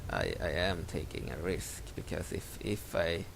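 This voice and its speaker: Halting man, deep and gravelly. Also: sing-song, a little hiss or hum. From Sweden. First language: Swedish. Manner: halting, self-interrupting, frequent stammered repeats. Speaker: gameplay video maker. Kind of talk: let's play commentary. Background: loud game music.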